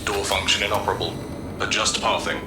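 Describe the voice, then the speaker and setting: A man's voice: on the low side, wildly swooping pitch, a little fast. A man voicing a detached, devout machine servant in a video game, giving clipped procedural reports. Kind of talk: video game robotic voice acting. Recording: echoey, loud music over voice.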